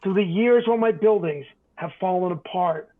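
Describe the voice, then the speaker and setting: A man reading a rhyming bedtime story aloud in an expressive, dramatic way. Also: high-pitched, normal speed. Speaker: storybook reader. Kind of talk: theatrical bedtime story narration in rhyme. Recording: tinny, phone-like narrow band.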